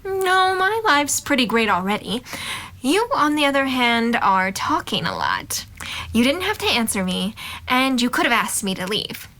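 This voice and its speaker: A woman's voice: on the high side, very animated, normal speed, pushed and bright. A woman acting an outgoing, curious young college student in an audio drama, playful, breezy and direct. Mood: teasing, friendly. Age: young adult.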